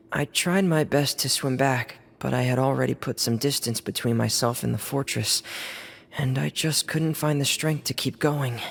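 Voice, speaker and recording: A woman voicing a gentle, weakened, apologetic teenage boy, soft-spoken and hesitant, in a game dub. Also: extremely low pitch, gravelly, breathy edge, heavily acted. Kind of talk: English game dub acting.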